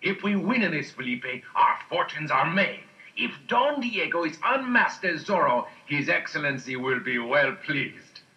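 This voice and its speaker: An actor playing an ambitious, scheming military captain in a dramatised storybook. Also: male voice, fairly high pitch, big pitch swings, unhurried, sharp tone, lo-fi sound, soft background music, heavily acted. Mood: confident, scheming. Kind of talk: dramatised storybook recording acting.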